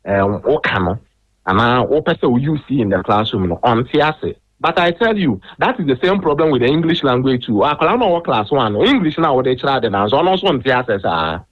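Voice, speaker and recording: A man speaks as a guest on a radio discussion about education. He explains his points in a measured way, his pitch rising and falling a great deal, and he moves between languages as he talks. His voice comes through a dull, lo-fi line. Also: very animated.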